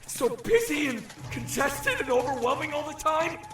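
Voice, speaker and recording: Man as very high, panicked young shapeshifter. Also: sing-song, moderate pace, fairly bright tone, exaggerated voice. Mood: panicked, overwhelmed. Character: panicked, lost young shapeshifter overwhelmed by city crowds. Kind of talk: audio drama monologue. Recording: echoey, distant, faint background music.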